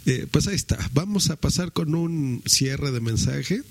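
A man commenting casually as a podcast host, often groping for words, in a husky voice. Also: a little high, lively intonation, a little slow, darkish tone.

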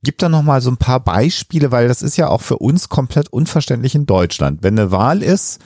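A man with a German accent hosts a casual interview, plain-spoken and a little halting as he restates things for listeners. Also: mid-range voice, expressive, darkish tone, no echo, clean studio sound. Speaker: podcast host, interviewer.

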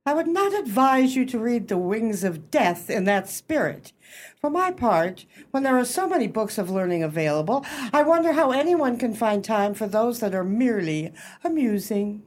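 A woman's voice: fairly high pitch, sing-song, moderate pace, a little mellow. A woman acting in a radio play as a proud, bossy society hostess who speaks formally and precisely. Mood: lofty.

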